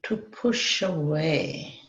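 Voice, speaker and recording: Female meditation guide, measured and unhurried with long mid-sentence pauses, slightly dull lo-fi sound in a roomy space. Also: low voice. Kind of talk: guided meditation, semi-improvised. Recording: dull lo-fi, echoey room.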